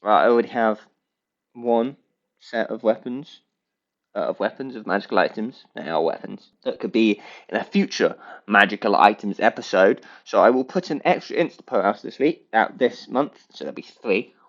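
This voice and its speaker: A man's voice: fairly low pitch, normal speed, dull audio. A man hosting his own podcast alone, rambling and correcting himself in a goofy, playful way, with a blocked, sniffly nose. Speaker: podcast host. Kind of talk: casual solo podcast rambling.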